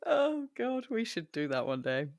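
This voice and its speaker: A woman co-hosting a podcast in casual chat, talking into a microphone, animated and self-mocking, swearing casually. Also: fairly high pitch, a little slow, no room sound. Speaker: podcast co-host.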